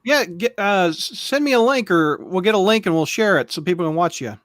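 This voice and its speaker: A man's voice: high voice, expressive, brisk, fairly bright tone, no room sound. Male host for a casual call chat, laughs easily, dry close sound. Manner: chatty, laughs often, frequent restarts.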